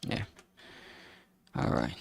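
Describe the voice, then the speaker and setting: A streamer talking casually while working, thinking aloud and muttering in a halting way.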